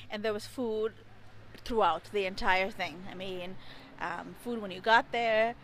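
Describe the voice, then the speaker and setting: A woman's voice: medium pitch, unhurried, fairly bright tone, a little hiss or hum, low-quality recording. A woman in her thirties, from India and raised in Dubai, answering interview questions outdoors into a handheld mic, thoughtful and a little hesitant as she searches for words. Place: outdoors beside a building wall. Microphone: handheld mic held close.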